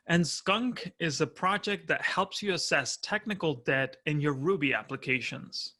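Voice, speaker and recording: A man's voice: on the high side, pushed and bright. A man in his thirties from Argentina with an Argentine Spanish accent, giving a friendly, explanatory prerecorded conference talk from home. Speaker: conference speaker.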